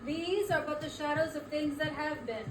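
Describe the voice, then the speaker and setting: Woman as high-voiced solemn guiding spirit. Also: normal speed, over music, natural voice. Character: solemn guiding Ghost of Christmas Past. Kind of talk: dramatic stage reading. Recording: echoey room, distant mic, background noise.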